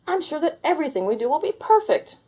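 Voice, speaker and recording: A woman's voice: sits high, sing-song, quick pace, dark tone, pitched above the performer's own voice. A woman voicing an eager, cocksure young princess in a children's storybook, bold and breezy. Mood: excited. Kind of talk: storybook character voice.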